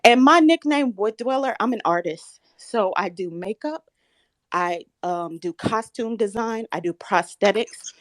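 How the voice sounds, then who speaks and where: Earnest soft-spoken woman in her thirties. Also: medium pitch, expressive, measured pace. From Southern United States. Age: 30s. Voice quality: slightly shaky voice. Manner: soft-spoken, earnest, grows firm and insistent when challenged. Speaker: caller. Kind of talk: live audio chat room.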